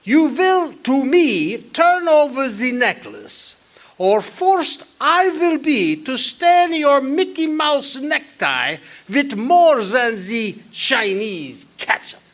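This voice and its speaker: A man's voice: extremely high pitch, very animated, takes it slowly, a bit bright, heavily acted. A man playing a natty little German criminal henchman in a comic radio detective drama, with a put-on German accent and theatrical, twisted syntax. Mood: menacing. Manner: inverted syntax, taunting, theatrical.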